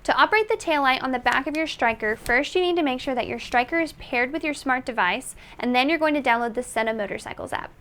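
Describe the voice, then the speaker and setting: A woman's voice: high-pitched, fast talker, few pauses, a bit bright. A woman presenting a product tutorial in an instructional, step-by-step manner. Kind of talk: tutorial narration.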